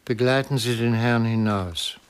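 A man's voice: mid-range voice, fairly even intonation, measured pace, clear, resonant tone, natural voice. A man acting in a German radio drama as a cold, genteel crime boss who speaks in formal, terse commands. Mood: cold, commanding.

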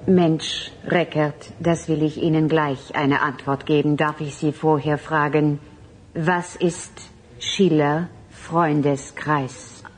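An actress playing a composed female transhuman leader who speaks deliberately and formally in a radio drama. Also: deep voice, fairly even intonation, slight background noise. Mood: cool, formal. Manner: deliberate, formal, clipped non-colloquial phrasing.

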